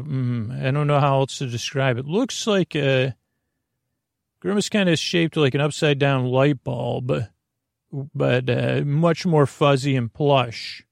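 Rambling man with a very creaky voice. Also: medium pitch, no room sound, studio quality. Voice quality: gravelly, creaky. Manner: meandering, over-explaining, trails off mid-thought. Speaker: podcast host. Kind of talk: rambling bedtime monologue for a sleep podcast.

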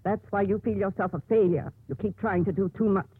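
An actress playing a stern, authoritative older nun who runs a convent, with commanding, emphatic delivery in a 1950s radio drama. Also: female voice, mid-range voice, fairly quick, breathy edge, no echo. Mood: earnest, pleading. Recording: muffled narrowband old radio recording.

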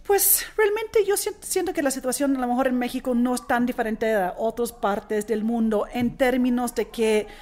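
A woman in her forties who grew up in Australia talks as an interview guest in a language she learned later. She speaks earnestly, with frequent fillers and restarts, and her voice sits a little high. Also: normal speed, hardly any pauses, darkish tone. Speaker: guest, impact investor. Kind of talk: interview conversation.

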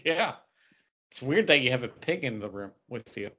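A man's voice: mid-range voice, expressive, moderate pace, sharp tone, lo-fi sound. A man chatting casually and informally as a podcast co-host, with bantering delivery. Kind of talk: casual chat about comic books.

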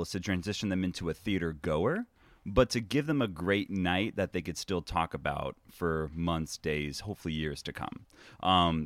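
A man guesting in a casual chat, with a loud voice and a laid-back, filler-heavy way of talking. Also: sits low, dry recording, studio quality. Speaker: guest, theater company member.

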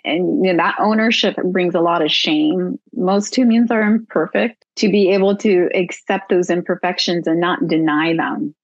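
Interview guest, woman, lowish voice, earnest reflective talk, dry and slightly dull sound. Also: moderate pace, few pauses, no echo. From United States. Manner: reflective, earnest, many fillers. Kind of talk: casual interview conversation.

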